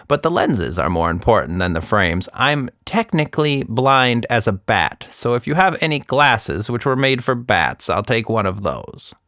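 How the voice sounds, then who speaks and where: A man's voice: medium pitch, sing-song, normal speed, few pauses, sharp tone, no echo, studio quality. A man voicing a talkative, short-sighted female monkey who rambles and interrupts in a children's story. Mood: matter-of-fact.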